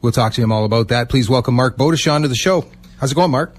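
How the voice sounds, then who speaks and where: A man's voice: mid-range voice, fast, slight background noise. A man hosting a radio interview show, talking in a conversational way with his guest.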